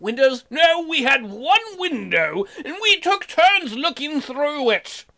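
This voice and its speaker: A man voicing a nostalgic old colonist who grumbles at spoiled youngsters in a cranky, drawn-out way. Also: extremely high pitch, sing-song, pushed and bright, pitched above the performer's own voice, exaggerated voice.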